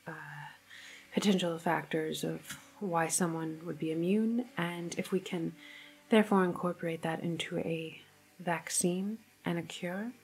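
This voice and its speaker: A woman playing a calm, reassuring government doctor in an ASMR medical roleplay, soft-spoken and partly whispering, with a hesitant, clinical manner. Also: lowish voice, low-quality recording.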